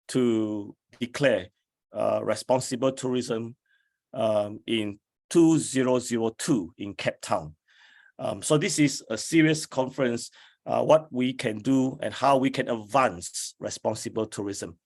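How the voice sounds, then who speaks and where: A man, an academic, giving a slide lecture in a hoarse voice, speaking deliberately with many fillers. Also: medium pitch, darkish tone. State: hoarse from flu.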